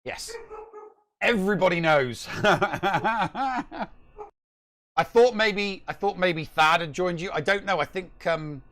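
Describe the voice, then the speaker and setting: A man's voice: high-pitched, slow pace, long pauses, a bit bright. A middle-aged man co-hosting a casual live gaming podcast from home on a video call, talking emphatically and repeating himself for stress. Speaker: podcast co-host.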